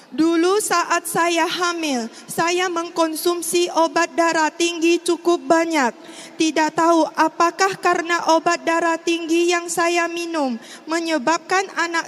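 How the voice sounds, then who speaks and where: A middle-aged woman from Riau with an Indonesian accent, giving a formal, measured prepared testimony. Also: extremely high pitch, fairly bright tone. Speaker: testimony speaker. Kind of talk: prepared personal testimony speech. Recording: long hall reverb, faint background noise.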